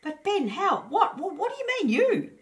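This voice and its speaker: A woman voicing a small, excitable hedgehog in a storybook, speaking in emphatic exclamations. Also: very high-pitched, moderate pace, voice pushed up. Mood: amazed, shaken. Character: small excitable hedgehog, loyal friend of a dragon.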